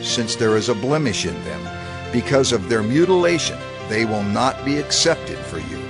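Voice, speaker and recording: An actor playing a solemn, instructive reciter of divine law in a formal, measured dramatized scripture reading. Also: male voice, mid-range voice. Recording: music under the voice. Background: music.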